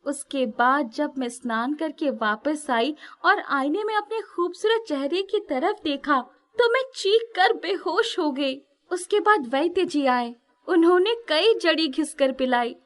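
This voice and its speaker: A woman voicing a gentle, wise hunchbacked former dancer in a storybook tale, sweet-spoken and reflective. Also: high voice, lively intonation, unhurried, pitched above the performer's own voice. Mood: regretful.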